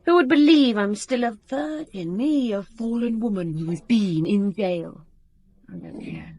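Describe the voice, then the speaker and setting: A woman's voice: medium pitch, sing-song, measured pace, warm tone. An actress playing a proud, defiant young woman in a radio drama, speaking bluntly. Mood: bitter, determined.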